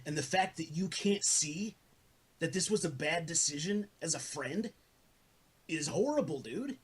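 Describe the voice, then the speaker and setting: Male, husky voice, earnest and profane, for a heated informal argument. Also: on the high side, expressive, unhurried.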